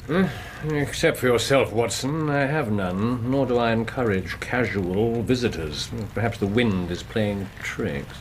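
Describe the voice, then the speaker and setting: An actor playing a brisk, dry-witted Victorian English detective in a radio drama, precise and commanding. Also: male voice, medium pitch, a little hiss or hum, natural voice. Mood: dry, unruffled. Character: Sherlock Holmes, brisk, sardonic, observant Victorian male detective.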